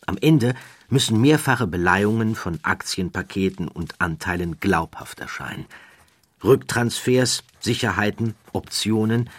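A man's voice: lowish voice, husky, no room sound. A man narrating an audiobook, telling the story dramatically with rising and falling emphasis.

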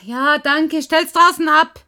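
A woman playing a secretive, grieving programmer in a radio play, halting and guarded, with a breathy voice. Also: sits high, fairly even intonation, pushed and bright, full character voice. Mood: curt, guarded.

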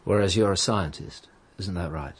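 An actor playing a shrewd middle-aged police inspector in a radio drama, with a dry, teasing, commanding way of talking. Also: male voice, low voice, moderate pace, slightly rough. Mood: probing. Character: shrewd, wry older male police inspector.

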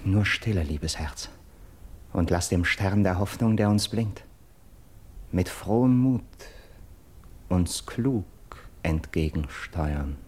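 An actor playing an earnest Greek captive in a classical verse radio drama, declaiming solemnly and dramatically. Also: male voice, low voice, takes it slowly, long pauses, darkish tone, husky, full character voice. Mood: hushed, hopeful. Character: earnest Greek captive bearing grim news of murder.

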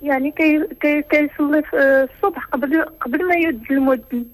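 A woman's voice: high voice, no room sound, low-quality recording. Hesitant young Moroccan woman caller. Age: 20s. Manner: hesitant, halting, many fillers.

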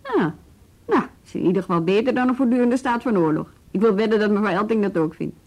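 An actress playing a composed, tactful but firm female police bureau chief in a Dutch radio drama, speaking with measured authority. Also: mid-range voice, lively intonation, darkish tone. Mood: reassuring.

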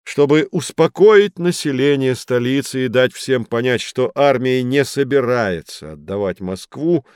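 A man playing a patient, earnest guide who explains things to children with deliberate emphasis in a scripted educational audio drama. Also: medium pitch, no room sound, studio quality. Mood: grave, dramatic.

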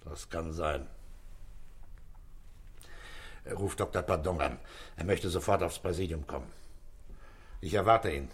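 Man acting a low-voiced, terse police commissioner. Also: lightly acted. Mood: calm, commanding. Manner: terse, measured, commanding. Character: calm, authoritative middle-aged male police commissioner. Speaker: radio drama actor. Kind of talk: German radio crime drama acting.

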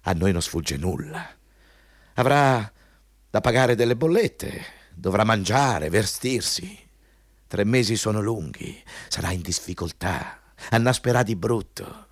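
An actor in an Italian radio play playing an arrogant, bullying company boss, pompous and insistent, repeating words for emphasis. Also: male voice, on the low side, expressive, unhurried, no echo, studio quality. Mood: menacing, needling. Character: arrogant, bullying male company boss interviewing a job applicant.

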